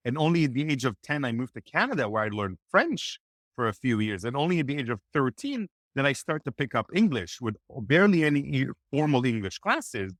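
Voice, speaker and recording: A man whose first language is Hebrew, giving energetic, motivational networking advice as a podcast guest. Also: medium pitch, a little fast, no echo, clean studio sound. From Israel. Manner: energetic, motivational, acts out quoted dialogue. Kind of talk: animated podcast interview.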